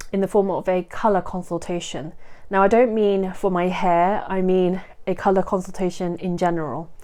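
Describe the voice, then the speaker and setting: A woman's voice: medium pitch, mellow. A woman hosting her own vlog, chatting to camera in a casual, explanatory way with frequent asides and self-corrections. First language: not Korean. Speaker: vlogger host.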